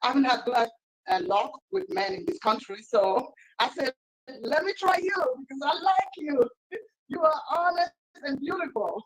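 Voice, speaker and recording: Woman from Germany, high-pitched voice, personal self-introduction for a dating video. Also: moderate pace, poor audio.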